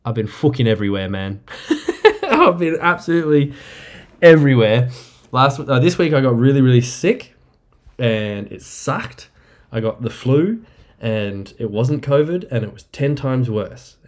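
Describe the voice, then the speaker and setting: A man hosting a casual solo podcast, rambling and upbeat, a bit nasal and croaky from the flu, recorded on a laptop mic in an apartment. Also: mid-range voice, big pitch swings, a little slow. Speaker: podcast host. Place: apartment indoors. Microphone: laptop microphone.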